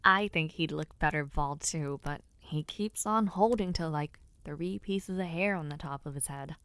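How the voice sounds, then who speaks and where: A woman playing an affectionate young noblewoman in an intimate audio roleplay, speaking softly and breathily to the listener with hesitant sighs and murmurs. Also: sits low, normal speed, clear, resonant tone, no room sound. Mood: affectionate, playful. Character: affectionate young noblewoman, playful but nervous before her debut.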